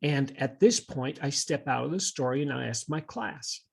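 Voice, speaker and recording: Slightly husky man, thoughtful podcast talker. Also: mid-range voice, normal speed. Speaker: podcast co-host and teacher. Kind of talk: conversational podcast discussion.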